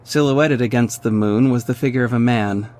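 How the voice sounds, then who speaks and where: A man's voice: medium pitch, normal speed, darkish tone, lightly acted. An actor playing a young, cocky but caring male vigilante superhero in a scripted audio drama. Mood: earnest narrating. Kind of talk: scripted first-person narration and acted dialogue. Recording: music behind the voice. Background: music.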